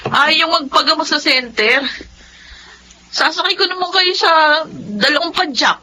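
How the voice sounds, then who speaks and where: A woman's voice: sits high, unhurried, bright, forceful tone, slight background noise, heavily acted, rough recording. A Filipino woman playing a caring grown daughter who scolds out of worry, in a radio drama. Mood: worried, scolding. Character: worried, nagging grown daughter, caring and poor.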